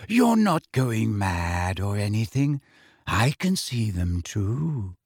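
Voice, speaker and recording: A man voicing a dreamy, serene teenage girl with a soft, drifting delivery in an audiobook. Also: on the low side, big pitch swings, slightly rough, no echo, clean studio sound, voice pushed down, heavily acted. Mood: dreamy, reassuring. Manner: slow, drifting. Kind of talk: audiobook character acting.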